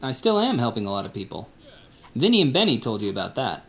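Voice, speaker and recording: Man, mid pitch, calm, gently teasing, radio play acting. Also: fast talker, gravelly. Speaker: actor in a church radio play.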